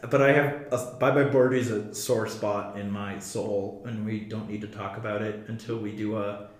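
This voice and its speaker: A man's voice: on the low side, steady tone, moderate pace. A man co-hosting a podcast in casual chat, with a dry, sarcastic way of talking. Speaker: podcast co-host. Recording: very echoey room.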